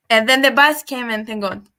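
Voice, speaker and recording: A young woman from Cyprus, Greek her first language, speaking English in a bright, fairly high voice. She talks casually on a call, stopping and restarting often and filling gaps with "uh". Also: a little hiss or hum. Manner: hesitant, with many restarts and fillers. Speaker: caller.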